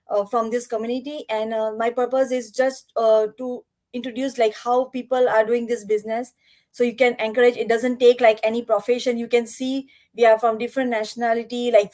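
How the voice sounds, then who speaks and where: A woman hosting a live interview series over a video call, upbeat but halting, with many fillers. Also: fairly high pitch, a little mellow. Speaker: host.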